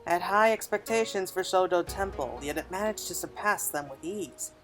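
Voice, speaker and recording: A self-satisfied gentleman treasure collector from a fantasy game, read out by a woman. The speech is flowery and archaic, delivered over game music. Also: fairly low pitch, normal speed, natural voice. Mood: delighted, grandiose. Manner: florid, archaic, theatrical storytelling diction. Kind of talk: reading game cutscene dialogue aloud.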